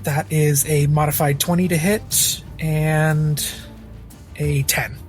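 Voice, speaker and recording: A man playing a tabletop role-playing game, improvising his character's actions in the third person in a halting way. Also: fairly high pitch, little pitch movement. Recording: background music under the voice.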